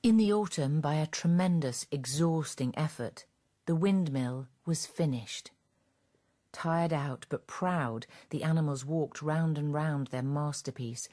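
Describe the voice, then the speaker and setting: A woman narrating a radio drama in a measured, scripted storytelling manner. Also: low-pitched, dark tone.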